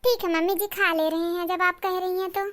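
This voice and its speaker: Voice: extremely high pitch, level tone, fairly quick, pushed and bright, a little hiss or hum. A performer voicing a spoiled young daughter-in-law in a cartoon, sweet-talking, cheeky and self-assured. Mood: languid entitled. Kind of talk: cartoon character dialogue.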